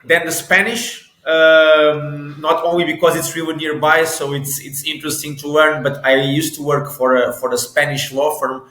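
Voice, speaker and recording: A man's voice: on the high side, little pitch movement, moderate pace, few pauses, pushed and bright, reverberant. A man from Portugal in his thirties, a guest in an interview, talking with many restarts and repeated words. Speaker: guest, football agent and sports lawyer. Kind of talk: conversational interview.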